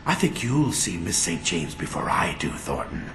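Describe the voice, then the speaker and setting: An actor playing a menacing, contemptuous mercenary villain in a video game, talking slowly with a sneer. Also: male voice, sits low, very animated, creaky, a bit bright, full character voice. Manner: slow, sneering. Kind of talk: dramatic video game dialogue. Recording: echoey space, music behind.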